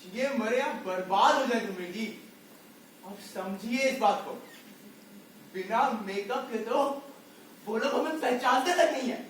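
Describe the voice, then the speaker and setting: A man's voice: extremely high pitch, unhurried, pauses often. A man playing a desperate, bitter circus clown and father on stage, declaiming and firing off rhetorical questions. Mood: bitter, pleading. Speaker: stage actor. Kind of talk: amateur Hindi stage play acting. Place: indoor stage, recorded from the audience area. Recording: distant, very echoey hall, faint noise.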